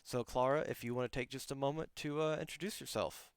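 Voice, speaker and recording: A man's voice: medium pitch, fairly even intonation, fast, smooth, no room sound, studio quality. A man hosting a live-streamed podcast interview, rambling casually with many restarts and frequent laughs. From outside Germany. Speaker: podcast host.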